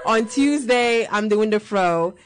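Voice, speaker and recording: A woman's voice: mid-range voice, slow. Bubbly woman radio host, laughing often. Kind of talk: casual radio interview. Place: radio studio.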